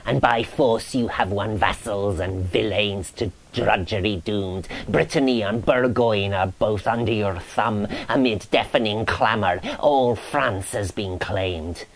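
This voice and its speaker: Male reader as a grim damned ghost, very slow delivery, solemn incantation, woeful preaching, verse storytelling. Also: fairly low pitch. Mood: grim, accusing. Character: grisly, woeful ghost of a damned soul, grimly prophesying doom. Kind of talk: dramatic verse reading of a medieval romance.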